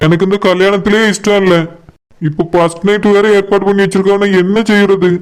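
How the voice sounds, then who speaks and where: A man's voice: sits high, flat delivery, a little fast, slight background noise, lightly acted. A man voicing a reluctant young bridegroom in a cartoon, anxiously questioning himself in a monologue, with a Kanyakumari Tamil accent. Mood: anxious brooding.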